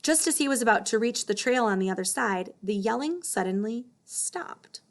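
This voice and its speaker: A woman's voice: fairly high pitch, animated, normal speed. A woman narrating a children's story as a read-aloud. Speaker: storybook reader.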